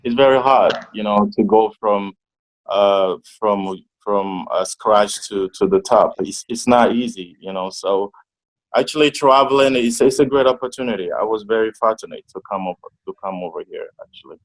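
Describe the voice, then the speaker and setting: A man's voice: lowish voice, normal speed, poor audio. A young man from Togo answering interview questions, with many restarts and fillers, on a video call. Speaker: interview guest.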